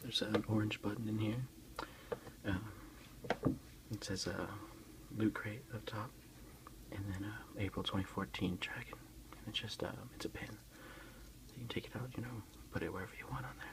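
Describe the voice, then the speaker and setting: A man softly whispering in a breathy voice as he casually hosts an ASMR unboxing, unhurried and a little hesitant, recorded on a phone. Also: fairly low pitch, fairly even intonation, background noise. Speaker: ASMR video host.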